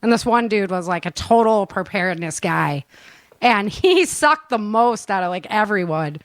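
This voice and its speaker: A woman's voice: mid-range voice, animated, normal speed, dry recording. A woman hosting a casual live chat show, talking informally with plenty of everyday slang. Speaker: show host and producer.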